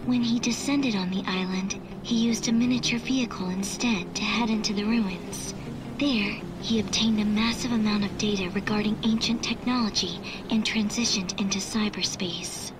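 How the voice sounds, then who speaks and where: A woman voicing a cool, analytical artificial intelligence girl in a video game, speaking in a measured, formal, detached way. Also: mid-range voice, steady tone, noisy background, lightly acted. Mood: cool, informative.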